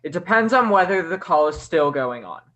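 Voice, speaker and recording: Chief operator, performed by an actor: bright, crisp-edged tone, cool, officious, formal, radio drama. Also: medium pitch, lively intonation, natural voice, low-quality recording. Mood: cool, procedural. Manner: measured, formal, bureaucratic. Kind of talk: radio thriller acting.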